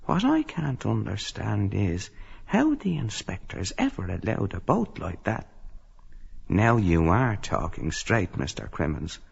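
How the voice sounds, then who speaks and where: An actor playing a chatty, self-satisfied middle-aged salesman, genial and gossipy, with a husky, creaky voice in a literary audio drama. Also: on the low side, big pitch swings, a little slow, exaggerated voice. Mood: gossipy, indignant. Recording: reverberant, slightly dull recording.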